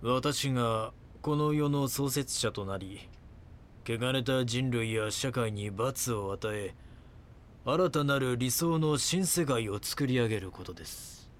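Guy acting calm cult guru in a radio play, preachy, drawn-out delivery, some rumble behind. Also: close to an everyday voice. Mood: calm, solemn. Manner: polite, preachy, deliberate. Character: calm, grandiose male cult founder and guru. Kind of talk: audio drama acting.

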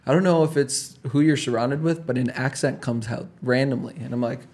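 A man hosting a casual interview, friendly and affirming, with quick comments between the guest's answers. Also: mid-range voice, darkish tone. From Puerto Rican family. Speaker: podcast host.

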